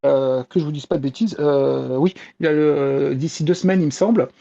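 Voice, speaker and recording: Podcast panelist, male, 40s. Dark, relaxed tone, halting casual delivery, lo-fi sound. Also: a little high, creaky. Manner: hesitant, searching for words. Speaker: podcast panelist giving manga news. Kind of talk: casual news chat. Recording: slightly dull, lo-fi voice track.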